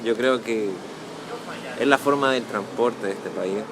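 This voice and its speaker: Halting man answering on a street. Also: on the low side. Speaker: interviewee. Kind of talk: casual street chat. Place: city street outdoors. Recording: street with clear traffic noise.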